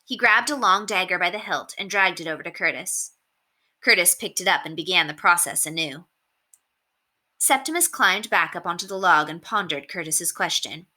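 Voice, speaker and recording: A woman narrating a novel aloud in an expressive storybook reading style. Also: fairly low pitch, moderate pace.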